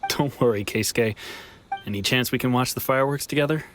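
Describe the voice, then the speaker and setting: A man's voice: mid-range voice, brisk, a bit bright, a little hiss or hum, lightly acted. A man playing a wistful, humbled runaway come home in a video game, hesitant and sincere, with music behind. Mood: concerned, hopeful.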